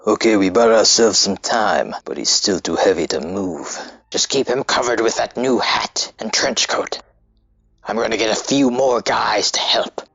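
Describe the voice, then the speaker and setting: A man playing a gruff, down-and-out street character in a comic dub, with a raspy, colloquial delivery. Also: fairly low pitch, measured pace, fairly bright tone, no room sound, full character voice. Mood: conspiratorial. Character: rough streetwise down-and-out man covering for a friend. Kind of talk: comic book dub acting. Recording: dull lo-fi mic, music underneath.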